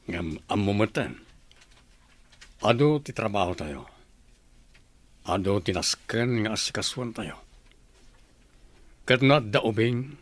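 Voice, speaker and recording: An actor playing a ruthless, authoritative gang boss in an Ilocano radio drama, with an Ilocano accent. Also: male voice, lowish voice, a bit bright. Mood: demanding.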